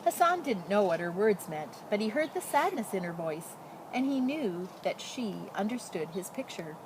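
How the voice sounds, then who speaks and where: A woman's voice: medium pitch, sing-song, moderate pace. Woman reading a storybook, noisy recording. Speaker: storyteller reading a picture book aloud. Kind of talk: expressive read-aloud narration. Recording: noticeable background noise.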